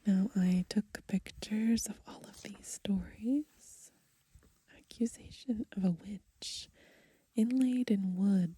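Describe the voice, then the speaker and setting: A young woman doing soft-spoken ASMR in a gentle, unhurried, breathy whisper, recorded close to the microphone outdoors. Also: mid-range voice, no room sound. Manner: gentle, unhurried, softly murmured. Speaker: ASMR video host. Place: outdoors on grass in light wind.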